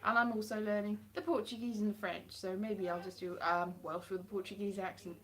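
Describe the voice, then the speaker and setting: A woman's voice: mid-range voice, fairly even intonation, fairly quick, a bit bright. A woman in her thirties chatting casually on a live stream from her hallway at home, rambling and mocking herself with playful sarcasm. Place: home hallway by a staircase, indoors. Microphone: webcam or phone at close range.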